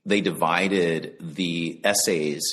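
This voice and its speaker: A man from the Bahamas, an audiobook narrator and actor, talking about his work in a relaxed interview over a video call, with thoughtful pauses. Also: low voice.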